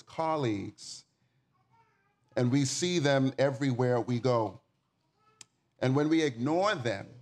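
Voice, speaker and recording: A man in his forties from the Bahamas, giving a sermon as a guest preacher in an informal, folksy way, speaking directly to the congregation. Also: medium pitch. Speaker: guest preacher and nonprofit community manager.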